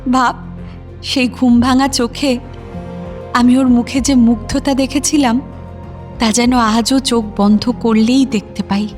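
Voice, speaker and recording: Audio drama role: wistful, worried young woman, high voice, halting emotional confidences over a music bed. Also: fairly even intonation, a little mellow. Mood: wistful, nostalgic. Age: young adult. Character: worried, wistful young working woman devoted to her ailing brother. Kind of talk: audio drama acting in intimate dialogue.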